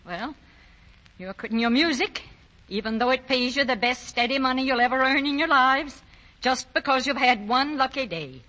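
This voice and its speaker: A woman's voice: sits high, animated, normal speed, bright, forceful tone, muffled recording. A woman playing a strong, emphatic middle-aged mother of grown sons in a 1940s radio drama. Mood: stern.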